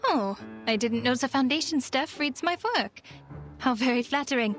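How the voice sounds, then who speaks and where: An actress playing a proud, eloquent psychiatrist with a sardonic, theatrical way of talking in a video game. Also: female voice, high-pitched, normal speed, a bit bright, soft background music. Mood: flattered, musing. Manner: eloquent, grandly rhetorical, sarcastic.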